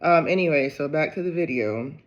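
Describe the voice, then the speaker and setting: A man from Ohio chatting casually to camera in a gardening vlog, rambling and low on energy, often breaking into excited exclamations. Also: fairly quick, muffled recording. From Ohio, USA. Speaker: gardening vlogger.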